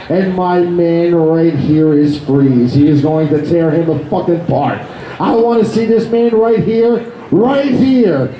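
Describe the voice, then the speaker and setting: A man shouting and swearing to hype up a live audience as he introduces the next roaster on a convention stage. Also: very high-pitched, slight background noise. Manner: shouting, profane crowd hyping. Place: convention stage before a crowd. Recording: echoey hall, crowd behind.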